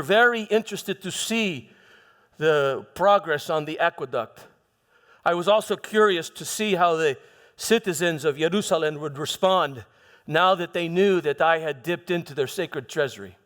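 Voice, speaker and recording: A man playing a proud, vengeful Roman governor in a theatrical monologue, speaking emphatically with halting repetitions and dramatic pauses. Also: on the high side, fairly bright tone, close to an everyday voice. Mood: curious, anticipating. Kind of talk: dramatic first-person monologue in church. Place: church hall, live performance. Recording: echoey hall with background music.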